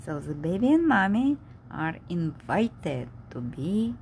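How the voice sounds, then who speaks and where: Very slow, expressive woman reading aloud. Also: fairly low pitch, vocal fry, slight background noise. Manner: slow, dramatic, expressive delivery with simple explanations. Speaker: picture-book reader. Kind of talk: read-aloud storytelling with asides to young listeners. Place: indoors at a table.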